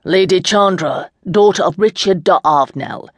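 A reader playing a commanding dark lord who speaks calmly and with quiet mockery. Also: normal speed, no echo, studio quality, close to an everyday voice. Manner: calm, low and assured.

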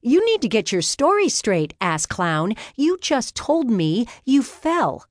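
A woman's voice: mid-range voice, lively intonation, measured pace, no room sound, clean studio sound, voice pushed up, natural voice. A woman voicing a feisty, sarcastic female rookie private investigator, blunt in manner, in an audiobook story. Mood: defensive.

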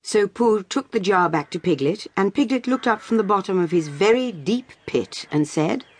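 A female narrator tells a children's story from a script. Her voice is husky and sits at a medium pitch. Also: lively intonation, moderate pace.

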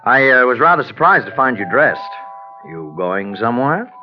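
An actor playing a smooth-talking, scheming husband in a 1940s radio drama, casual and placating. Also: male voice, mid-range voice, very animated, normal speed, natural voice. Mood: falsely casual. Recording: muffled old radio recording, music behind.

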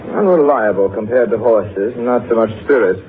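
Man playing aloof dry gent, lowish voice, few words, polite but cynical, tinny old 1930s radio play. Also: moderate pace, lightly acted. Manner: laconic, reserved, polite. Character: aloof, dry, cynical gentleman horse-lover. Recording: narrow, faintly noisy 1930s radio recording.

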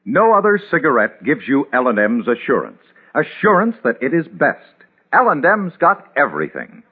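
Very animated male 1950s radio announcer. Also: mid-range voice, normal speed, breathy edge. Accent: American. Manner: emphatic, punchy repetition. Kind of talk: 1950s radio commercial read. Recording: tinny, muffled 1950s radio recording.

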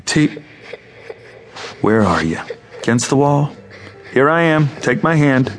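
Harried dad, swinging pitch. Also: male voice, sing-song, takes it slowly, faint music behind. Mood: alarmed, searching. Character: harried, grumbling but worried young father. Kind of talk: radio drama acting.

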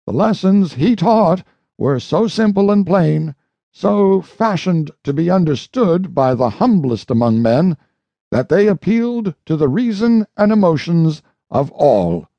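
Mellow-voiced man reading formally in a roomy space. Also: sits high, sing-song, unhurried, lo-fi sound. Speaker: audiobook narrator. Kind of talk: formal scripted reading of a historical speech, oratorical.

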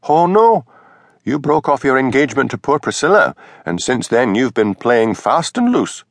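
A man's voice: big pitch swings, normal speed, dry recording, clean studio sound. A man voicing a respectable, tweedy minister's wife in her fifties who speaks in a stiff, indignant tone. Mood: scornful. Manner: indignant and stiff, high-pitched.

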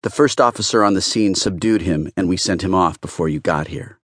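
A man's voice: deep voice, fairly quick, a bit bright, dry recording, studio quality. A man voicing a sour, territorial female police detective in an audiobook, curt, grudging and defiant.

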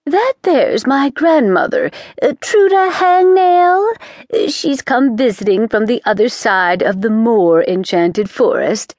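A woman's voice: expressive, slow pace, darkish tone, no echo, full character voice. A woman doing a fluttery, whispering witch. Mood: confiding. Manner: high, fluttery, drops to whispers. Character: fussy, confiding middle-aged witch.

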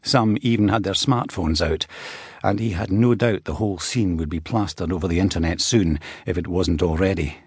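A man reading a thriller as scripted narration, in a measured, dramatic storytelling way. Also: deep voice, husky, dry recording, studio quality. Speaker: audiobook narrator.

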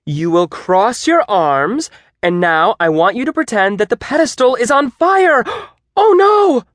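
Man putting on a shrill, chirpy female gym teacher. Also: sing-song, a little slow, dry recording, clean studio sound, full character voice. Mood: overexcited. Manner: exclamatory, shouting cheerleader enthusiasm. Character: relentlessly chirpy, bossy female P E teacher, forced pep. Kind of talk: audiobook character voice.

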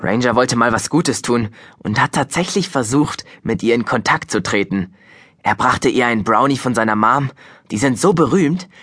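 A man's voice: medium pitch, a bit bright, no echo, clean studio sound, natural voice. A man playing a wry boy who narrates his own story in scripted first person.

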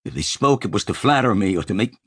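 A man's voice: lowish voice, lively intonation, fast talker, slightly rough, no echo, studio quality, voice pushed up. A man voicing an irascible, imperious old male tycoon in an audiobook, blustering with indignation. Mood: indignant. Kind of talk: audiobook character acting.

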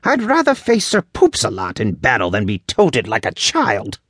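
A man voicing a tiny, proud male elf in a high-pitched storybook voice, with grudging and old-fashioned formal speech. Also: huge pitch swings, normal speed, a bit bright, husky, no room sound, studio quality, full character voice. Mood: indignant. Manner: grudging, archaic formal phrasing. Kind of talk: audiobook character voice.

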